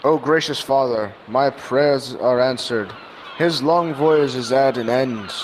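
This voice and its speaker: A man's voice: medium pitch, a little hiss or hum, close to an everyday voice, poor audio. Young man acting a grave, devout old father. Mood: solemn, prayerful. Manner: solemn, prayerful, drawn-out declamation. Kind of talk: recreated old-time radio drama acting.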